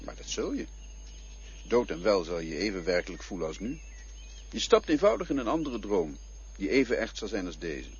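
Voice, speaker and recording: Actor doing a husky, lively-voiced guide of the dead for a radio play, patient and quietly mocking, dull lo-fi sound. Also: male voice, low-pitched, normal speed, heavily acted. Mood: calm. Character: calm cryptic male guide of the dead. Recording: dull lo-fi old radio recording.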